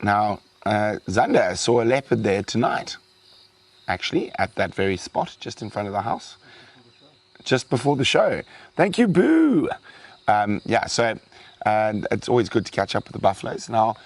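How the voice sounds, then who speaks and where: A man's voice: fairly low pitch, normal speed, husky. A young man hosting a live wildlife stream, chatting casually and saying he is losing his voice. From South Africa. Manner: chatty, reads out viewer thanks, breaks into excited exclamations. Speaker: wildlife show host.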